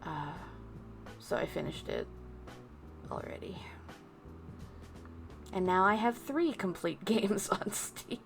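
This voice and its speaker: A woman livestreamer chatting casually to her viewers, musing off the cuff with pauses between thoughts. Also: mid-range voice, animated, vocal fry, music in the background. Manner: unscripted musing, addressing chat, with pauses. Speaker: VTuber livestreamer.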